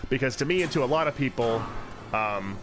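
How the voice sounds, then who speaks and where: A man's voice: medium pitch, lively intonation, brisk. Stuffy-voiced man rambling over gameplay. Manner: rambling, with frequent fillers and restarts. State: congested from a cold, sniffling. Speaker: let's play channel host. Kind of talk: casual gameplay commentary.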